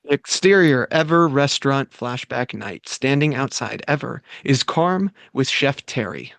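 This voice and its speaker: A man reading screenplay stage directions aloud at a table read, in a plain, quick manner. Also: mid-range voice, lively intonation, vocal fry, fairly bright tone, no echo.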